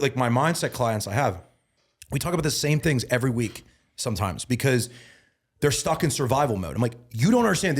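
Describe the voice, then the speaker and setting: A man in his thirties talking as a guest on a casual podcast. He sounds earnest, repeats himself and restarts a lot, and grows emphatic and louder when he starts preaching. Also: medium pitch. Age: 30s. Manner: repeats words and restarts often. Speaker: guest, bodybuilding and mindset coach.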